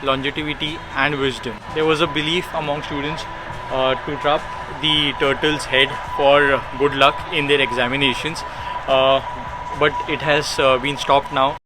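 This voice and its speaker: A man's voice: medium pitch, flat delivery, a little slow, poor audio. A man presenting a travel vlog, explaining sights like a guide, recorded outdoors with music behind him. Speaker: travel vlogger. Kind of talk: travel vlog narration to camera. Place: outdoors at tourist sites.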